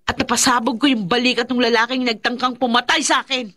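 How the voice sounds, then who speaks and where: A woman playing a defiant, vengeful young woman with dark powers in a Filipino radio horror drama, with a Filipino accent. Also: fairly high pitch, little pitch movement, fast, no room sound, clean studio sound. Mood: proud. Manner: intense, defiant.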